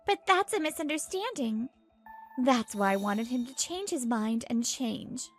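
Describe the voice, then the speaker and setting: A woman's voice: a little high, lively intonation, unhurried. A frank older mother voiced by a woman gives cautionary advice in a manga dub. Music plays behind her. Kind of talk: scripted manga dub dialogue.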